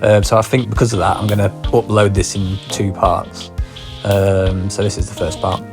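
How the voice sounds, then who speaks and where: A man's voice: deep voice, level tone, moderate pace. A man hosting a podcast, giving a casual, hesitant introduction with lots of ums and uhs. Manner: hesitant, filler-heavy. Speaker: podcast host. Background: faint guitar music.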